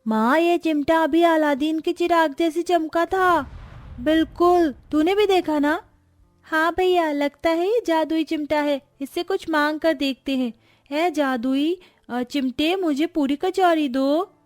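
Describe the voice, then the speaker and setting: Woman putting on an eager high-pitched little kid. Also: unhurried, no room sound, soft background music. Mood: amazed. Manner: excited, pleading. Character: eager, wide-eyed, hungry little children, brother and sister. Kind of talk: animated cartoon story acting.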